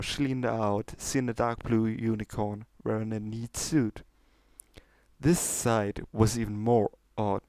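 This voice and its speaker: A man's voice: fairly low pitch, mellow, no echo. A man reading fan fiction as an amateur narrator, moving between casual talk and storytelling.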